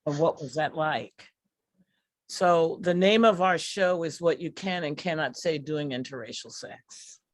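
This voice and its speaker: A middle-aged woman, a comedy performer, telling personal stories in a candid, conversational way with deliberate pauses. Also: deep voice, no echo.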